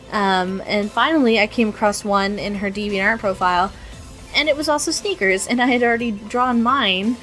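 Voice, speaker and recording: Young woman, mid-pitched, raspy and stuffy from a cold, rambling casual voiceover with soft music behind. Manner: rambling, self-deprecating, lots of ums and restarts. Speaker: artist narrating her speedpaint.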